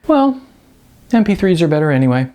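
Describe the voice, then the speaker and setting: A man voicing a cocky, excitable young slacker in an audiobook, talking in brash, insistent dude slang. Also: fairly high pitch, a little fast, pitched above the performer's own voice. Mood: insistent, teasing. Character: excitable, cocky young male slacker dreamer, record store clerk.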